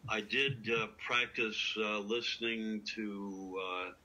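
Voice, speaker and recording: Deep-voiced man, slow and halting, bright but husky tone; poet guest in casual interview. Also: steady tone, rough recording. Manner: halting, many uh fillers, thoughtful.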